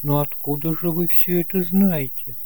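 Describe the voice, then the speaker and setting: Male actor as a polite young nephew; soft-toned, steady, soothing, for a homemade detective radio play. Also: on the high side, normal speed, no room sound, natural voice, rough recording. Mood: concerned. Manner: calm, courteous, reassuring. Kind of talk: amateur audio drama acting.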